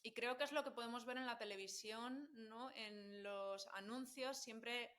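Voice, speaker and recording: A woman's voice: a little high, measured pace, fairly bright tone. A woman with a Madrid Spanish accent, a linguist guest explaining patiently in a casual podcast chat, with frequent fillers and laughter. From central Spain.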